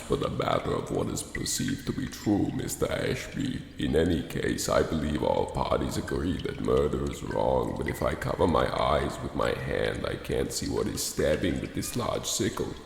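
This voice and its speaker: A pompous old cartoon statesman, booming from the very bottom of his range with a heavy gravel to every word. He talks formally and at length, rising and falling through his sentences. The room around him rings with long reverb. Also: sing-song, moderate pace, hardly any pauses, a little hiss or hum, heavily acted. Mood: grave. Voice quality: very deep, gravelly. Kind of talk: comedic radio play acting.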